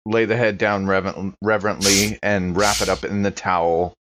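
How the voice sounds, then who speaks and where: A man's voice: low-pitched, level tone, moderate pace, background noise. A man casually narrating his character's actions as a player in a tabletop role-playing game, through a slightly dull microphone.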